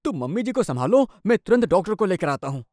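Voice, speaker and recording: A man plays a concerned young husband in a cartoon moral tale. His delivery is insistent and highly animated in pitch. The sound is dry. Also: fast, no room sound. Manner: earnest, insistent. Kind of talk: cartoon moral story dialogue.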